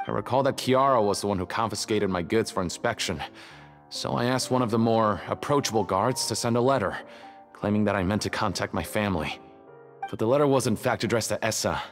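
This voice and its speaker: A man's voice: fairly low pitch, brisk, close to an everyday voice. A man voicing a jittery, resentful smuggler prisoner in a video game, defensive and self-justifying. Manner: stammering, defensive, indignant. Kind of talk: scripted video game dialogue. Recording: long hall reverb, music behind.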